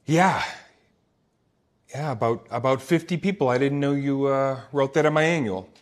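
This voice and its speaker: A man's voice: mid-range voice, moderate pace, lightly acted. A man playing a shy teenage boy who acts cool in a table read, speaking in a hesitant, understated way. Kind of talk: unrehearsed table read of a film comedy.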